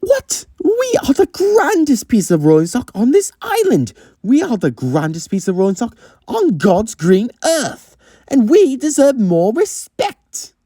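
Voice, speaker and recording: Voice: mid-range voice, wildly swooping pitch, unhurried, warm tone, husky, no echo, clean studio sound, voice pushed up, full character voice. A performer voicing a pompous, grandiose female talking train wagon in a storytelling video, theatrical and self-important. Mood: indignant.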